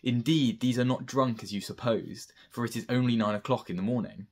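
A man's voice: medium pitch, fairly quick. A young man reading a Bible passage aloud, recorded at arm's length in a room at home. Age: young adult. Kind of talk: scripture reading. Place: bright room at home by a window.

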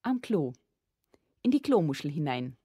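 A woman's voice: low-pitched, big pitch swings, a little slow, husky, no room sound, highs cut off, heavily acted. Woman playing an earnest, plaintive little girl. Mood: reluctant. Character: earnest, offended little girl with wild tales.

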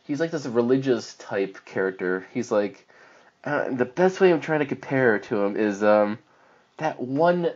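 A man co-hosting a film podcast, rambling casually through a movie recap. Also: medium pitch. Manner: rambling, animated, slips into silly put-on voices for characters. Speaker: podcast co-host reviewing films. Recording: dull lo-fi podcast audio.

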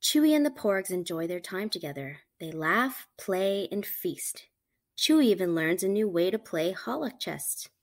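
A woman reading a picture book aloud to children, with animated, emphatic storytelling delivery. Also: medium pitch, normal speed. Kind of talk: expressive read-aloud narration for children.

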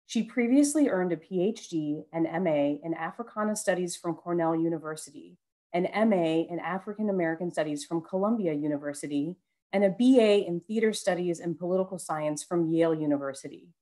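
Lowish-voiced woman introducing a speaker. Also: brisk, dark tone. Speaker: panel moderator. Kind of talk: formal scripted speaker introduction. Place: room indoors, on a video call. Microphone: headset with boom mic.

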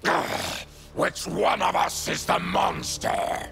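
An actor playing a proud, indignant monstrous mutant warrior in a fighting game, with a guttural growl. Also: male voice, high-pitched, fairly even intonation, slow, creaky, pushed and bright, soft background music, full character voice. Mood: bitter, indignant.

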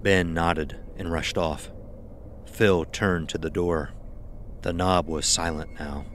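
A man's voice: low-pitched, steady tone, slow pace, a bit bright, slight background noise. A man narrating a scripted horror audio drama as its storyteller.

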